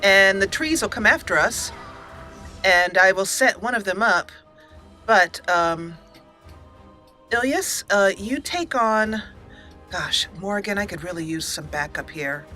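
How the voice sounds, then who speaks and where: A female let's play host talks casually through her game. Her voice is bright and medium in pitch, chatty and thinking aloud, with quiet music underneath. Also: lively intonation, a little slow, pauses often. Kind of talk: casual gameplay commentary.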